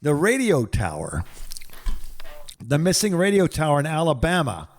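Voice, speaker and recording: An older man co-hosting a podcast, talking casually and haltingly, with an amused storytelling manner. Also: fairly high pitch, huge pitch swings, slight background noise. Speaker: podcast co-host. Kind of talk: casual phone chat.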